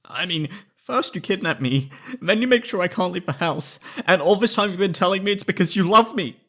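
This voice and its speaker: A man's voice: high-pitched, lively intonation, brisk, a bit bright, exaggerated voice. A man playing a timid, frightened young male captive in an ASMR roleplay drama, stammering nervously and hesitating. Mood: bewildered, wary. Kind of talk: ASMR roleplay audio drama, intimate monologue.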